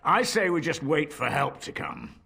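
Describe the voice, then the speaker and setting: An actor playing a cowardly, surly tavern keeper in a video game, grumbling defensively in a gravelly, husky voice. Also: male voice, a little high, expressive, normal speed, pushed and bright, full character voice. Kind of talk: scripted video game dialogue.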